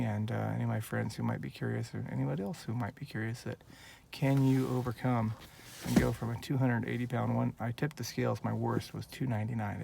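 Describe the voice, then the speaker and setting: A man's voice: mid-range voice, creaky, darkish tone, a little hiss or hum. A man in his forties from Northern California, talking in an earnest, rambling, heartfelt way in a casual personal vlog, recorded on a handheld phone. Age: 40s. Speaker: vlogger.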